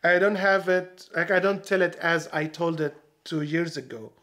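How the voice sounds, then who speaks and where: High-voiced man from Syria reflecting. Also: fairly even intonation, normal speed, bright, forceful tone. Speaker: stand-up comedian. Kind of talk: documentary interview.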